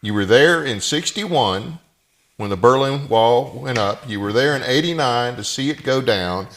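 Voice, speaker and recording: A man hosting a casual interview, informal and a little halting, often affirming his guest. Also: mid-range voice, lively intonation, fairly bright tone. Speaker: interview host.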